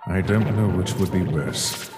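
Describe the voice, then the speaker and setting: Deep, disdainful mad scientist in reverb. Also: male voice. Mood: clinical, uneasy. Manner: condescending, deliberate, formal. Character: cold, disdainful male mad scientist monster, obsessed with his work. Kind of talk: dramatic comic dub acting. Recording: echoey hall reverb, music behind.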